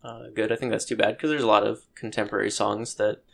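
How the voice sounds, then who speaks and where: Podcast co-host, male, low gravelly voice, hesitant and thoughtful, casual chat. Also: flat delivery, fast talker, fairly bright tone. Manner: thoughtful, hesitant, many ums and restarts.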